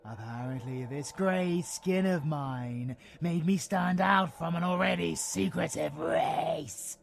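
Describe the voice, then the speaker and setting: A man's voice: on the high side, slow pace, vocal fry. A British man playing a sadistic ancient male vampire in an audio roleplay, with a theatrical, mocking, taunting delivery. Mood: amused, dismissive. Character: sadistic grey-skinned ancient vampire monster, male, gleefully predatory. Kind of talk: audio roleplay villain acting.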